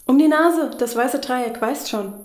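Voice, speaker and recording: Actress doing a chatty blunt woman coworker in a radio play, slightly high voice, roomy. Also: a little slow, darkish tone. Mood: chatty, brisk. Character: blunt, gossipy, casual woman care-home colleague. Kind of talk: radio crime play acting.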